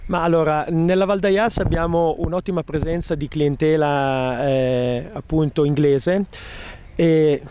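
A middle-aged man, a ski resort company president, answering questions outdoors on a snowy slope into a handheld mic, measured and hesitant with many filler pauses. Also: on the high side, fairly even intonation, warm tone, slight background noise. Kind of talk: outdoor TV interview. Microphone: handheld mic held by interviewer.

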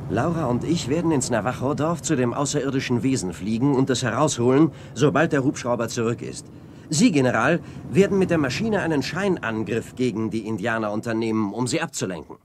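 An actor playing an earnest, decisive young hero in a radio play, explaining and giving directions with urgency. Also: male voice, medium pitch, a little fast, background noise, lightly acted.

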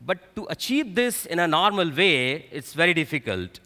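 A middle-aged man with an Indian accent giving a halting, explanatory technical presentation at a conference. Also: fairly high pitch, very animated, bright, forceful tone. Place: stage of a large conference hall. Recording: echoey large hall PA sound.

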